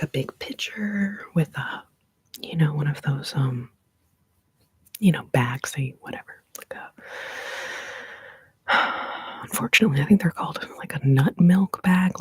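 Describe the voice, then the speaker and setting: A woman whispering softly in an ASMR video, playful and chatty. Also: sits low, dry recording. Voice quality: whispered, soft breathy delivery. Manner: playful, giggly, chatty asides between repeated trigger words. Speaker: ASMR host. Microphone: close sensitive mic.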